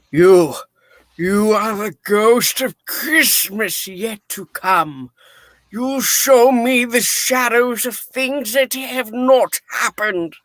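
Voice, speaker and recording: A man's voice: high-pitched, a bit bright, dry recording. A man voicing an anxious old miser who speaks in a dramatic, beseeching way in a radio play reading. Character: Ebenezer Scrooge, anxious pleading old miser.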